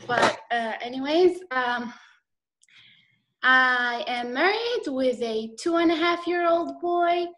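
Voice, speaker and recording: A woman's voice: high-pitched, expressive, slow, fairly bright tone, rough recording. A woman with an Iraqi accent telling her personal story as a guest on a video call, hesitant and slightly nervous, with many fillers. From Iraq.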